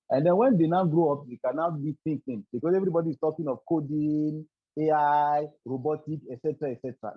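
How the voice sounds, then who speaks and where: A man's voice: on the high side, no echo. Earnest man on a dull call. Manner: earnest, repeats words while searching for them. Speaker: webinar guest speaker. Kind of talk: formal presentation. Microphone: video call. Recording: dull dry video call audio.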